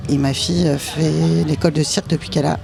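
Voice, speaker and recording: A person from a circus school explaining its activities in an interview. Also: female voice, deep voice, level tone, fast, vocal fry, low-quality recording. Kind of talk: interview answers. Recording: music in background.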